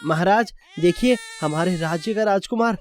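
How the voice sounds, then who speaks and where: A man's voice: high-pitched, normal speed, slight background noise, natural voice. A man voicing a loyal, reassuring royal minister in a cartoon folktale, formal and deferential. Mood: proud. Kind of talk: Hindi moral-story cartoon dubbing. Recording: voice over prominent guitar-like music.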